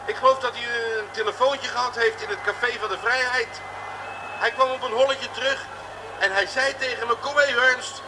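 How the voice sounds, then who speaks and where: Agitated male driver, very high pitch, defensive, bewildered, radio drama, noisy recording. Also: steady tone, a little fast. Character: flustered, defensive working-class male chauffeur reporting in.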